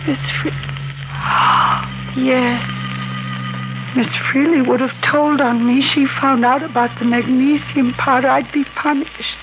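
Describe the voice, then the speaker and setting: A fragile, haunted woman in a 1940s radio melodrama. Her high voice moves slowly, pleading with childlike bewilderment. The sound is thin and noisy, like an old broadcast. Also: steady tone, phone-quality sound. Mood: dazed, confessing. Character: terrified, fragile, dazed woman haunted by fire.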